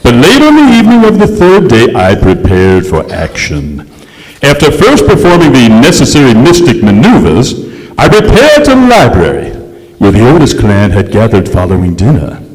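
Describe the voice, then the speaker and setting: A man's voice: medium pitch, huge pitch swings, moderate pace. A man acting a haughty, aggrieved aristocratic ghost who narrates his hauntings pompously in a staged old-time radio play. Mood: grandly boastful. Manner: pompous, florid, theatrical. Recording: echoey hall stage recording.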